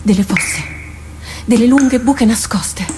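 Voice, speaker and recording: A woman acting a driven war captain in a radio drama, plotting battle in an intense, deliberate, half-murmured delivery. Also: a little high, noisy background. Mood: feverish, resolute.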